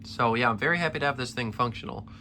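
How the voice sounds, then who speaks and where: A man's voice: medium pitch, fast talker, pushed and bright, a little hiss or hum. A young man with a tired, slightly worn voice, chatting eagerly in a casual vlog update, rambling with restarts. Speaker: ceiling fan collector. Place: basement collection room.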